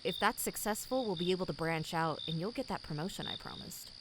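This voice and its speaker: A woman's voice: on the low side, fairly quick, background noise, natural voice. A woman playing a cold, condescending company boss in an audio drama, formal, smug and menacing. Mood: smooth, businesslike. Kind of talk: scripted audio drama acting.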